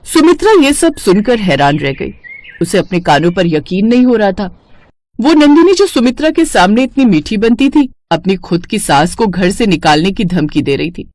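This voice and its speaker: A woman's voice: medium pitch, very animated, moderate pace, a little mellow, no echo. Woman narrating a story, dry sound.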